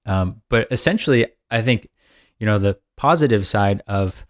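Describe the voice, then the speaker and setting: Low-voiced upbeat man in his thirties. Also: animated, normal speed, dry recording, clean studio sound. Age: 30s. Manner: rambling, upbeat, laughs often. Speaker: podcast co-host. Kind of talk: casual chat.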